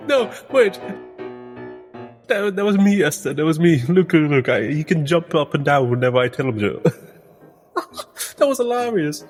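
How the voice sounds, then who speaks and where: An eager fantasy necromancer played by a man in a tabletop game. The delivery is theatrical and emphatic, with pitch that leaps around freely. Soft music plays underneath. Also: big pitch swings, unhurried, long pauses. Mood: excited. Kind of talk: tabletop roleplay in character.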